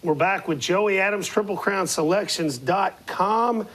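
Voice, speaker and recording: Hoarse-voiced man hosting a sports talk show. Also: high-pitched, unhurried, background noise. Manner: asks long rambling questions. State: losing his voice. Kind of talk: studio interview. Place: TV studio with city skyline backdrop. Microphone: lapel mic.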